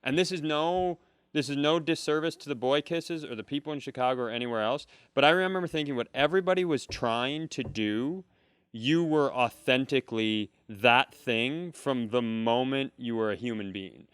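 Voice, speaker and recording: A man's voice: mid-range voice, moderate pace, fairly bright tone, smooth, dry recording. A man co-hosting a podcast in loose comedic chat, wry and teasing, with some swearing. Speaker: podcast co-host.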